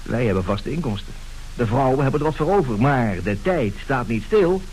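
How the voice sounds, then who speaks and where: Een acteur die in een hoorspel een ijdele, sluwe huwelijkszwendelaar van middelbare leeftijd speelt, theatraal en hoogdravend. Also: male voice, mid-range voice, lively intonation, normal speed, darkish tone, lightly acted, low-quality recording. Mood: complaining. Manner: theatrical, pompous, declaiming. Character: scheming middle-aged marriage swindler, vain, grandiose, smooth-talking. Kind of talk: Dutch radio play acting. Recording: hissy old radio play recording.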